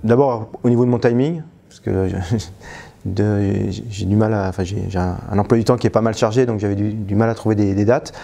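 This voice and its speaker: A man speaks in a gravelly, dark-toned voice, hesitating and restarting his sentences as he gives a spontaneous testimonial. He sounds like a coach talking off the cuff, trailing off with little filler words. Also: on the low side, steady tone, brisk. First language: French. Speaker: trainer and stress coach.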